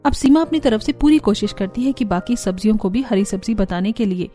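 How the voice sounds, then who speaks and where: A woman's voice: mid-range voice, animated, a little fast, dark tone. A woman reading scripted narration for a cartoon family story. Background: music.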